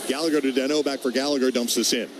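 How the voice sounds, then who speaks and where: A man's voice: medium pitch, fairly even intonation, rapid-fire, background noise. A man doing live radio play-by-play of ice hockey in short, clipped running calls.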